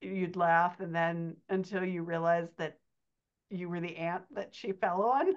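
A middle-aged woman coaches in a casual, conversational podcast style. Her voice sits a little low, and the video-call recording sounds slightly dull. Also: measured pace. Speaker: dialogue coach, podcast guest host. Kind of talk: casual explanatory podcast chat.

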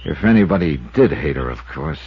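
An actor playing a shrewd, skeptical insurance investigator with an American accent, pensive and probing, in a 1950s radio detective drama. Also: male voice, rumbling low, expressive, brisk, a little hiss or hum. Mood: brooding, speculative. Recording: dull lo-fi 1950s radio recording.

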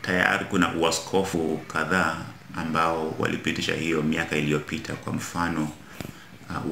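A middle-aged Kenyan man, an Anglican bishop, answering interview questions in a measured way in a small office, often repeating words as he searches for them. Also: rumbling low, pushed and bright, slight background noise. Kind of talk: interview answers mixing Swahili and English. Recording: echoey office room.

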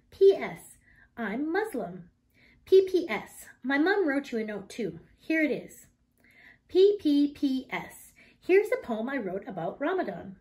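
Woman reading as an earnest, lively young schoolgirl. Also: a little high. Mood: earnest, emphatic.

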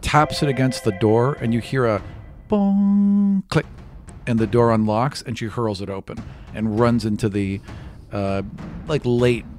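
A man's voice: medium pitch, a little slow, darkish tone. Man narrating a tabletop game over music. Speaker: game master. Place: small indoor podcast studio. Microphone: close studio microphone with foam cover. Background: background music.

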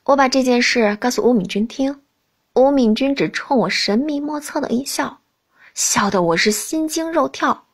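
A woman narrating a novel in the first person as an expressive audiobook reader. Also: high voice, moderate pace.

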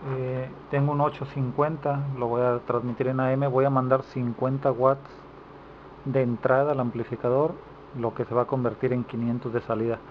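A man's voice: medium pitch, flat delivery, normal speed. A man giving an informal hands-on demonstration as a repair technician, recorded on a handheld camera indoors. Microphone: handheld camera microphone. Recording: dull lo-fi camera audio, clear background noise.

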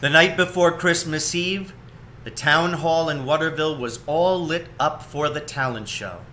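A man's voice: a little high, a little slow, bright, forceful tone, a little hiss or hum. A man in his forties reading a children's storybook aloud, recorded indoors at home on a webcam.

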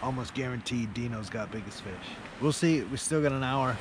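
A man's voice: medium pitch, a little fast. A man in his forties chatting casually to camera as an angling vlogger, with a laid-back manner. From United Kingdom. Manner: laid-back, often tags remarks with "man". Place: outdoors on a beach at night, in a small tent. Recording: noisy outdoor camera audio.

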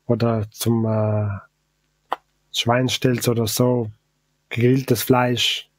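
Male brewer guest, Ladin native, accented German, halting and hesitant, dry close recording. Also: mid-range voice, flat delivery, no room sound. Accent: Ladin-Italian accent in German. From South Tyrol, Italy. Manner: halting, searching for words, many hesitations. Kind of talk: casual interview.

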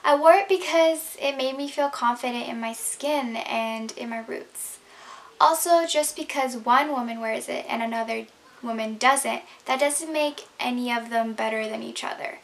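A woman's voice: fairly high pitch, moderate pace. A young Canadian woman, Urdu her first language, earnestly explaining a prepared talk to camera from her bedroom. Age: young adult. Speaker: speaker. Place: bedroom, indoors.

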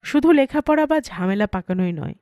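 A woman's voice: medium pitch, lively intonation, brisk, warm tone, no echo, lo-fi sound. A woman reading a first-person story aloud as an audiobook narrator.

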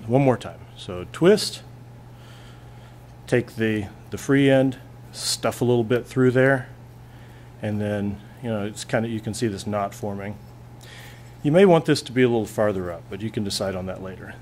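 Male teacher, low voice, relaxed step-by-step demo, faint room hum. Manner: relaxed, plainspoken, thinking aloud with restarts. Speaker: physics teacher. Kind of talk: hands-on classroom demonstration. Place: classroom lab bench, indoors.

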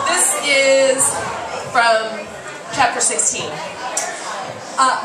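An American woman from Texas, middle-aged, reading her own memoir on stage as animated live storytelling, projecting theatrically. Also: fairly high pitch. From Texas, United States. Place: small dark bar stage, indoors. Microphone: microphone on stand, filmed from audience. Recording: distant, echoey, noisy live club recording. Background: audience noise and laughter.

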